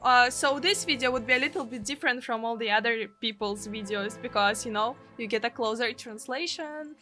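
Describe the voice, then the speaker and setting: A woman's voice: fairly high pitch, fairly quick, pushed and bright, faint music behind. A woman from Russia with a Russian accent, a gaming streamer expressively reading a translated visual novel aloud. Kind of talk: let's play, reading a live translation aloud.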